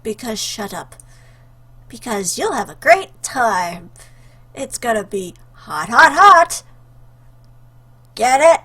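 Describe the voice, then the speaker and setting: Casting: female let's play host, higher voice, playful, joking, off-the-cuff game commentary. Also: very animated, slow, pauses often, bright, forceful tone, husky. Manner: joking, chatty. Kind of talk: casual playful game commentary with read-aloud choices.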